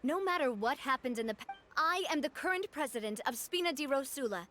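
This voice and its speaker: A woman playing a poised, warm young leader of an organisation in a video game. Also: high voice, expressive, fairly quick, a little hiss or hum. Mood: stern, composed.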